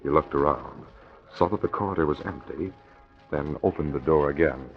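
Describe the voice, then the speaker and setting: A male announcer tells a story in 1940s radio drama style. His voice is deep and his delivery dramatic and scripted. The recording is thin, like a phone line. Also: moderate pace, faint music behind. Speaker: announcer-narrator. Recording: tinny, narrow old radio recording.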